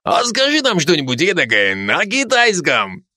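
A man's voice: sits high, wildly swooping pitch, normal speed, pushed and bright, dry recording, studio quality, pitched above the performer's own voice, exaggerated voice. A man voicing a handsome young Georgian soldier who teases his comrades. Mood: joking. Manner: teasing, mocking.

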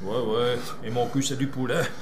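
An actor playing a scrappy, defiant prisoner with a colourful Brussels accent, mixing French exclamations into Dutch in a TV drama. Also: male voice, mid-range voice, fairly even intonation, moderate pace, background noise, natural voice. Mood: wry, protective. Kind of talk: naturalistic TV drama acting.

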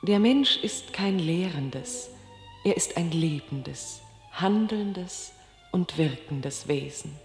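A woman playing a solemn voice in a radio play, reciting classical reflections in a measured, formal way. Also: on the low side, animated. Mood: solemn, reflective. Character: solemn female voice reading a classical author's reflections. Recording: long echoing reverb, music behind. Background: music.

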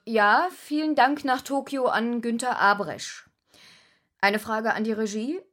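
A woman's voice: a little high, a little slow, natural voice. An actress playing a woman studio news anchor in a radio play, polite and formal with slight hesitation. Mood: formal, improvising.

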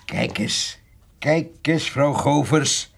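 Gravelly, drawling country rascal. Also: male voice, on the high side, slow pace, noisy background. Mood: jovial, sly. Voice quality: gravelly, husky. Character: sly, jovial old male poacher, gossipy country rascal. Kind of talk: radio drama acting.

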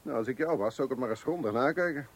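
An actor playing a smug, patronising know-it-all neighbour in a radio comedy, insistent and husky. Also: male voice, medium pitch, fast talker. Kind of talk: radio comedy play acting.

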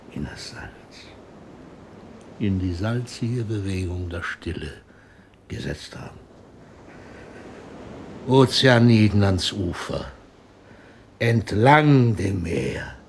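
An older man acting in a radio play as an ailing old poet, grave and lyrical, declaiming and murmuring in halting phrases. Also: deep voice, creaky, slight background noise, heavily acted. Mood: solemn, lyrical.

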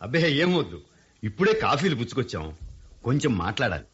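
An actor playing a smooth-talking, flattering businessman in a radio play. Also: medium pitch, fairly quick. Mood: persuasive. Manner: flattering, persuasive. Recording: dull lo-fi radio recording.

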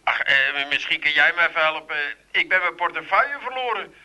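An actor playing a confused, halting male caller with an Amsterdam accent, heard through a telephone filter in a radio play. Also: fairly high pitch, lively intonation, pushed and bright. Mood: flustered. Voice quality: halting, bewildered. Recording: tinny phone line.

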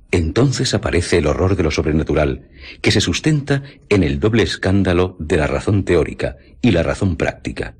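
A man's voice: sits low, darkish tone, breathy edge. A man giving a formal, emphatic scripted reading of a literary essay on radio. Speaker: reader of literary quotations.

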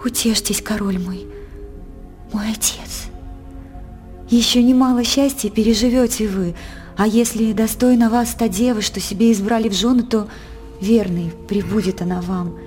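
An actress playing an innocent, devoted young princess, speaking theatrical verse earnestly and formally in a radio play. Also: female voice, medium pitch, steady tone, normal speed, darkish tone, soft background music, lightly acted. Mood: tender, sorrowful.

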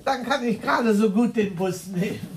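Actress as a high-voiced, fussy female witness. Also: normal speed, background noise, lightly acted. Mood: polite, fussy. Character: polite, chatty female witness, a neighbourhood dog walker. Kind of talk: crime radio play acting.

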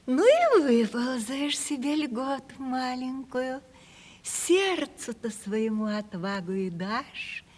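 An actress playing a coy, sentimental provincial housekeeper in a radio play, with folksy, lamenting speech. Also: female voice, sits high, darkish tone, breathy edge, heavily acted. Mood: fervent. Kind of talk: theatrical radio play acting. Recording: reverberant 1970s radio play studio recording.

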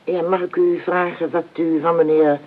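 Husky, halting woman acting a wary housekeeper. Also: on the low side, flat delivery, natural voice. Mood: guarded. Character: guarded, hesitant, loyal housekeeper. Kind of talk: radio play acting. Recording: tinny, phone-like old radio recording.

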